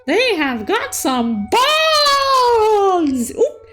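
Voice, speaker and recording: A woman narrates as an excitable little cartoon unicorn in an extremely high voice. Her intonation swings widely, yet she takes her time with the words. The delivery is playful and exclamatory, like an animated storybook recap of a game, over background music. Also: drawn-out words. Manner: playful, exclamatory, cutesy third-person persona. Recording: music bed behind the voice.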